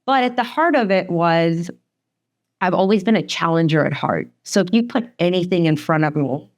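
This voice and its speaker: A woman in her forties, a divorce attorney telling her story as a podcast guest, talking fast and chatty with frequent laughs and a slight Northeastern American accent. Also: lowish voice, expressive. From Sweden. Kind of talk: candid podcast conversation.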